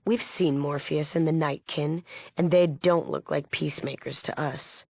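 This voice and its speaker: A principled woman leading a peace movement in a post-apocalyptic game. Her voice is lowish with a gravelly creak, recorded very dry. She sounds earnest, formal and firm. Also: steady tone, a little slow, no room sound, exaggerated voice. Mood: earnest, resolute. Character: earnest, resolute female leader of wasteland peace movement. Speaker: game character. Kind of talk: scripted video game dialogue.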